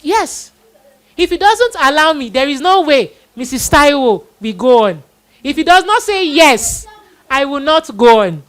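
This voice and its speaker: A woman's voice: high voice, very animated, unhurried, fairly bright tone. A middle-aged woman hosting a live children's Bible event, introducing and addressing people in an exhorting manner with repeated phrases.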